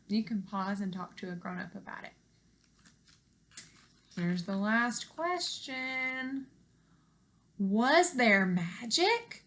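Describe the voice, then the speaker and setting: Bright, high-voiced woman teaching young children. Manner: animated, encouraging, simple questions addressed to children. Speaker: elementary school teacher. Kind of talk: expressive children's read-aloud and lesson talk to young pupils. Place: living room at home.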